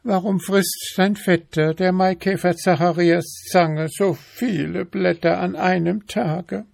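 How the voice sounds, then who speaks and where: A man's voice: fairly even intonation, slow, vocal fry, a little mellow, no echo, studio quality, full character voice. A man voicing a preachy, nagging female beetle who pesters her husband with insistent questions, in a storybook reading. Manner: insistent, high-pitched questioning.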